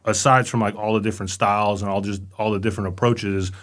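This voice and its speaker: A middle-aged man speaks quickly in a low-ish voice. His talk is loose and rambling, and he tags his sentences with "right?" and "you know". It is an easy, casual interview chat. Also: steady tone. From born in Romania, raised in Los Angeles. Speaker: guest, command sergeant major.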